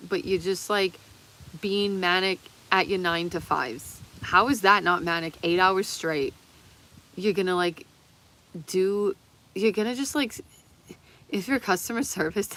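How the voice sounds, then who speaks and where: A woman in her thirties with a slightly low voice that sounds a bit worn from talking. She rambles in an excitable, giggly way and swears freely. The delivery is casual and confessional, like an unscripted livestream. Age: 30s. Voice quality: voice strained and tiring from long talking.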